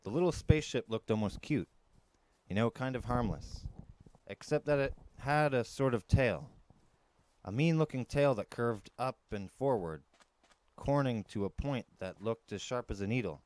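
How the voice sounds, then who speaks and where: A man's voice: medium pitch, animated, normal speed, darkish tone, dry recording. A man narrating a first-person adventure novel in a dramatic storytelling style. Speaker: storyteller reading an audiobook.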